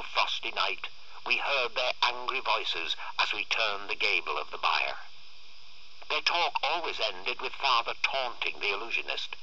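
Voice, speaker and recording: A man reading a literary short story aloud in a theatrical, expressive way. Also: lowish voice, breathy edge, no echo, muffled recording. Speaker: story reader.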